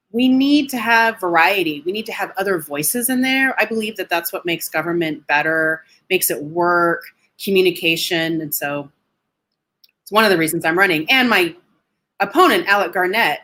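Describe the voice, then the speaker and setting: Young woman running for office, bright tense voice, earnest, on a webcam call. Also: on the low side. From Colorado, USA. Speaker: political candidate, guest. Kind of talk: interview answers. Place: home room. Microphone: webcam on a video call.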